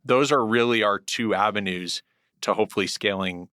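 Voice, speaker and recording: A middle-aged man speaks as a podcast guest in a thoughtful, deliberate way. His lowish voice has a bright, forward edge, and it is recorded dry and close. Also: clear, resonant tone, no room sound. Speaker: guest, hedge fund group head. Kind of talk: podcast interview answers.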